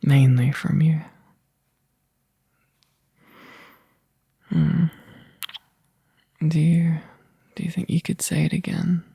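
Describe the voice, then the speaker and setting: Breathy, slow, sleepy boyfriend acted by a man. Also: a little high, mellow, no echo, studio quality, exaggerated voice. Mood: touched, loving. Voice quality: breathy, husky, often whispering. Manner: halting, drowsy, sighing delivery. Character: tired, overworked young boyfriend, tender and appreciative. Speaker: ASMR roleplay performer. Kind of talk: soft, intimate ASMR boyfriend roleplay. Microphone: close microphone, dry.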